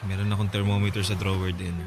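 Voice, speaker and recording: Man acting low-voiced, feverish young gentleman. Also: completely flat delivery, fast, over loud music, natural voice. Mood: tired, friendly. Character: gentle, grateful, feverish twenty-year-old rich son. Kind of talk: Tagalog radio drama acting.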